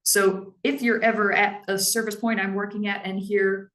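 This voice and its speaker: A woman who heads a public library, giving a measured, explanatory presentation on staff training in her naturally lower voice. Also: little pitch movement, a bit bright, roomy sound. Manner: measured and explanatory, with frequent "um" and "now".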